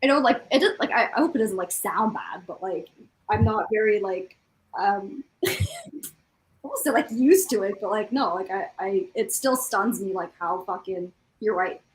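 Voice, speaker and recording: A woman's voice: medium pitch, moderate pace, a little hiss or hum, poor audio. A young adult woman from a French-Canadian town chatting casually, with an informal, exclamatory, sweary way of talking. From small French-Canadian town. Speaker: participant in a live reading stream. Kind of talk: casual group chat.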